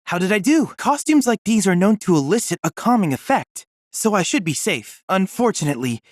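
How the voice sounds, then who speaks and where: A man's voice: high voice, big pitch swings, fairly quick, dry recording, studio quality. A man voicing a polite, earnest young android research assistant in a video game, speaking with formal, precise diction. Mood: curious, eager. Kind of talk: scripted video game dialogue.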